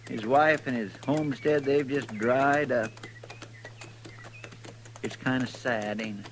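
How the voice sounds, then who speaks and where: Folksy deputy sidekick, rural American, higher-pitched, earnest and deferential, vintage radio western. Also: male voice.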